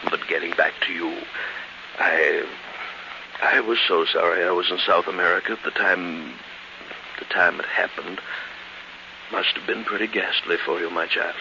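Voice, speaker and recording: An actor playing an effusive, fussy elderly invalid uncle who stammers and repeats himself, in 1940s radio drama style. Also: male voice, low voice, steady tone, normal speed, creaky, long pauses. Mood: consoling. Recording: hissy, muffled 1940s radio broadcast.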